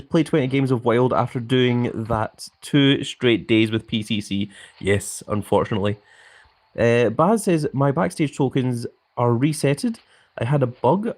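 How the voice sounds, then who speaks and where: A man's voice: mid-range voice, a little mellow. A young man live-streaming a mobile game, chatting casually and reading viewers' comments aloud with frequent ums.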